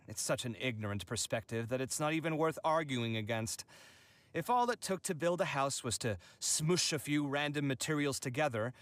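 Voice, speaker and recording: A man's voice: mid-range voice, dry recording, lightly acted. A man voicing a proud, refined young architect from a video game, articulate, earnest and self-assured. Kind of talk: video game character voice lines.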